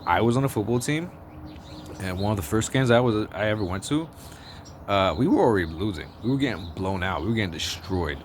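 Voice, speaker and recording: Man vlogger, very low bass voice, blunt and profane, rambles and restarts, street traffic noise behind. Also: expressive, fairly quick. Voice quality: deep bass voice. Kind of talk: casual opinion monologue. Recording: outdoor street noise with passing vehicles.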